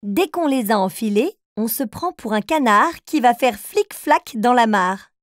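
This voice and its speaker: A woman playing an encouraging grown-up who puts riddles to small children in a playful, clearly spoken way. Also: fairly high pitch, animated, measured pace, dry recording, clean studio sound. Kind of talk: children's riddle game narration.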